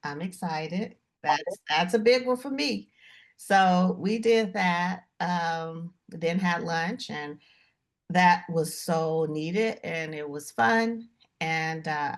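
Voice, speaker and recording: A relaxed woman chatting casually with friends. Her voice is low, and she talks very slowly in an easygoing way, with fillers. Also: creaky. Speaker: podcast co-host. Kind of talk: casual chat.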